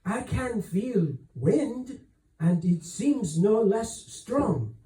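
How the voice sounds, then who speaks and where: A man reading aloud plays a young storybook woman, polite and full of questions. The voice is high for a man and unhurried, in an echoey space. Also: animated, dark tone, recorded from a distance, heavily acted. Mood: curious. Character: curious, polite young woman passenger on a ship.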